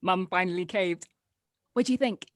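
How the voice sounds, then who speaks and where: A woman's voice: fairly low pitch, normal speed, no echo, studio quality, close to an everyday voice. A woman playing a blunt, teasing teenage daughter with youthful energy in a drama table read. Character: blunt, excited teenage daughter reunited with her dad.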